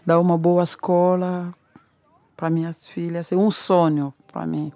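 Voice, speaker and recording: Congolese woman, 40s, French native, low and unhurried, hesitant, dry close sound. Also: little pitch movement, dark tone, no room sound. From Kinshasa, Congo. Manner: hesitant, searching for words in a second language. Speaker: interviewee. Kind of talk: personal testimony.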